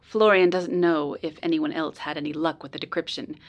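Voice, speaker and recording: A woman playing a polite, earnest informant in a noir radio drama, speaking in a measured, precise way. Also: low-pitched, fairly even intonation, close to an everyday voice. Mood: earnest, serious. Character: polite, earnest female informant helping a detective.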